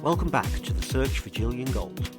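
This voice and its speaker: A man presenting a scripted true crime mystery podcast as an earnest investigator, with a measured, portentous delivery. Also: mid-range voice, animated, over loud music, close to an everyday voice. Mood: grave, intriguing.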